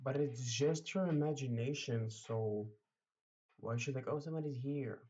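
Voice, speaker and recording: A man's voice: medium pitch, normal speed, mellow, highs cut off. A man giving casual livestream reaction commentary in a rambling, blunt way with crude slang. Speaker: streamer. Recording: distant mic, faint noise and clicks.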